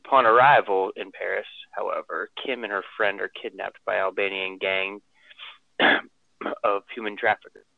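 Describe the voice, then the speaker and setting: Gravelly man on a phone line. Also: fairly low pitch, fairly even intonation, moderate pace, no room sound. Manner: reads the synopsis aloud, then chats loosely. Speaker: co-host. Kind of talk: casual podcast chat.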